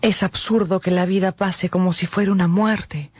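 A woman's voice: fairly low pitch, steady tone, moderate pace, a little mellow, slightly rough. An actress playing a troubled, pious married lady with a hesitant, fervent way of confiding, in a radio drama. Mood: dreamy, longing. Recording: music behind the voice.

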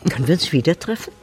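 A woman's voice: on the low side, a little mellow, husky. An actress playing a spirited, frank widow in her seventies, talking effusively with dramatic emphasis in a naturalistic radio drama. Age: 70s.

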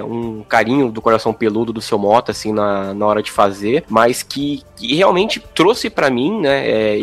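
A Brazilian man talking casually as a guest on a podcast. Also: mid-range voice. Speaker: podcast guest, audio description consultant. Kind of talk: casual conversation.

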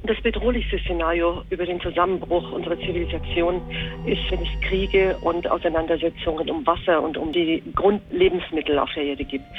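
An earnest, analytical expert voiced by a man speaks as in a radio interview. His voice is fairly high for a man and sounds thin and phone-like, with music running underneath. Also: natural voice. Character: earnest, analytical expert warning of civilisation's collapse.

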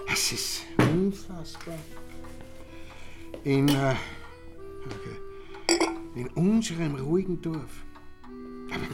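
Genteel pompous count, raised pitch, Austrian accent, affable and fussy, radio crime play, faint music. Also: male voice, heavily acted. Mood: bewildered. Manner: affable, pompous, repeats and hesitates.

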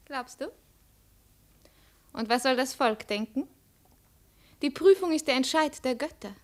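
Imperious, high-voiced young princess. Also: female voice, animated, measured pace. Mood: suspicious, probing. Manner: commanding, ironic, probing. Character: imperious, cold, shrewd young Chinese princess. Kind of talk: 1950s German radio drama acting. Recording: 1950s radio studio recording.

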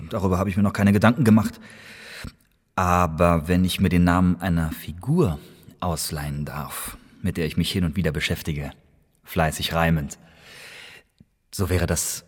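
A man's voice: sits low, fairly even intonation, measured pace, pauses often, husky. An actor playing a thoughtful young student recruited as a spy in a German radio play, speaking hesitantly as he ponders. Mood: musing. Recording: reverberant hall-like room.

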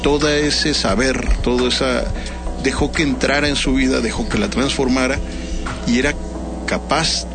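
Male panelist, average pitch, earnest and emphatic, with background music. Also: fairly even intonation, moderate pace, creaky. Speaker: panelist in a discussion. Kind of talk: conversational roundtable discussion.

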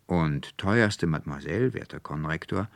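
A man's voice: low voice, animated, moderate pace, gravelly, no room sound. A man voicing a courteous, pedantic male registrar with a florid, formal and dreamy manner in a storybook reading. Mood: earnest, florid.

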